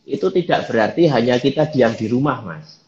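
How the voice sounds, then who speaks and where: A man calmly explaining things as a guest in a live online chat, with many fillers. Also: medium pitch, a little fast, echoey room, muffled recording. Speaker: guest speaker.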